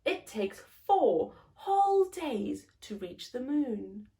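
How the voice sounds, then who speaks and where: A woman's voice: high voice, very animated, slow pace, dark tone. A woman hosting a story time, reading aloud expressively to young children in simple phrases and putting questions to them.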